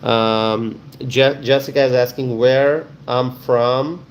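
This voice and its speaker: Man, Bangladeshi accent, unhurried pace, friendly live-stream host, welcoming, repetitive, faint noise behind the voice. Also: mid-range voice, steady tone, muffled recording. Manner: friendly, welcoming, repeats himself. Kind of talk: casual live-stream chat with viewers.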